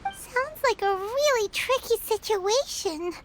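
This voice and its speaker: An actress voicing a tiny, chirpy, fairy-like female companion who excitedly talks about herself in the third person. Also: very high-pitched, takes it slowly, slight background noise, exaggerated voice. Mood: worried. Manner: excitable, exclaiming. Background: game music.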